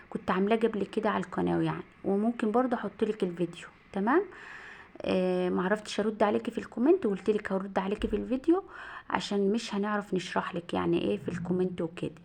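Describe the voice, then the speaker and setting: A middle-aged woman with an Egyptian accent chatting casually to her viewers as a cooking vlogger, rambling with many fillers, her voice a little hoarse and tired. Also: mid-range voice, darkish tone. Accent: Egyptian Arabic.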